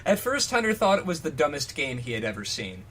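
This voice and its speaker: A man's voice: fairly high pitch, very animated, fairly quick, fairly bright tone. A man reading fan fiction aloud in a mock-dramatic style, breaking into jokey, profane casual commentary.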